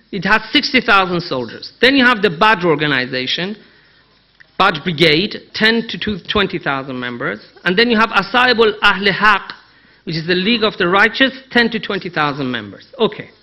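A man's voice: sits high, big pitch swings, normal speed, bright, forceful tone, rough recording. A man with a Persian accent gives an analytical conference talk, emphatic, posing rhetorical questions and repeating key phrases. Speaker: conference speaker, Iran analyst. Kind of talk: conference presentation, persuasive analysis.

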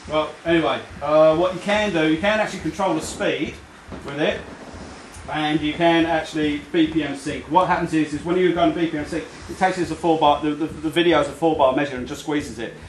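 A man's voice: a little high, steady tone, a little fast. Australian man demoing gear in an echoey shop. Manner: hesitant, full of ums and restarts. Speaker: product demonstrator. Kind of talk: casual live product demo. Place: crowded store at a product night. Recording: echoey shop with crowd noise.

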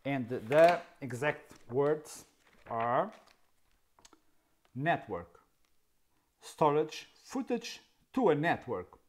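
Slow, wry Portuguese-accented man. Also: fairly high pitch, big pitch swings. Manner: wry, sarcastic, asks himself questions. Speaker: camera video host. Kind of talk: casual tech explainer. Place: dark indoor studio, seated.

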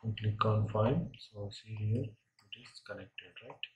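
A man instructing step by step in an unscripted software tutorial, often tagging his phrases with a short check-in question. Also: lowish voice, measured pace, muffled recording, a little hiss or hum.

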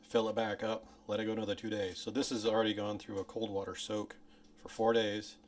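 A man hosting his own home cooking channel, explaining each step in a relaxed, chatty way while filming on a handheld phone in his kitchen. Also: brisk. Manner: louder and higher outdoors by the smoker. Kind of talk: casual cooking tutorial. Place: home kitchen, then outdoors at a backyard smoker. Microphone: phone camera held by the speaker.

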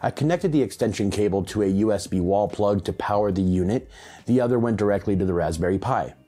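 A man around his late thirties or forties, presenting a scripted audio tech tutorial with clearly enunciated, step-by-step delivery. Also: on the low side, fast talker, mellow. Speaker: host of a hi-fi audio channel. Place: small home room, indoors.

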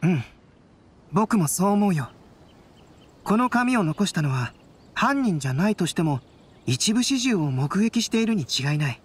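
A woman voicing a calm, thoughtful young male scholar in an anime-style game, composed and analytical. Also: lowish voice, normal speed, pauses often, slightly rough. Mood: pensive. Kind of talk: anime game dialogue acting.

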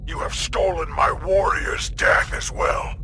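A grave, literal-minded alien warrior talks in stiff and formal speech. His delivery is slow, and his pitch swings widely. Loud game sound effects play behind him. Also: huge pitch swings, vocal fry, noisy background, exaggerated voice. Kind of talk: video game voice acting.

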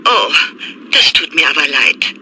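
A lively office receptionist on the phone. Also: female voice, deep voice, measured pace, background noise. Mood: formal. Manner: formal, friendly phone manner.

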